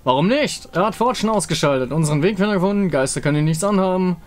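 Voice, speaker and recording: A man's voice: sits high, lively intonation, quick pace. A man voicing a pragmatic male warrior leader with a matter-of-fact, persuasive manner in a game story.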